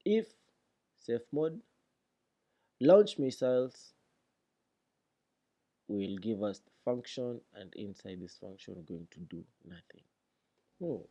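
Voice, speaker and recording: A man giving a read-along programming tutorial, reading deliberately and thinking aloud as he explains. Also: mid-range voice, expressive, dark tone.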